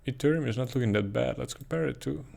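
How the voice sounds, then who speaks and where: A man's voice: medium pitch, fast, gravelly, a little mellow. A man with a Dutch accent giving casual, thinking-aloud commentary on price charts, with frequent ums and uhs. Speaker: crypto chart analyst.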